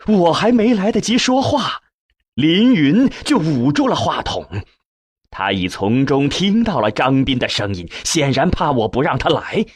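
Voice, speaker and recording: A man's voice: fairly high pitch, big pitch swings, unhurried, breathy edge, dry recording. A man narrating a science fiction audiobook in the first person.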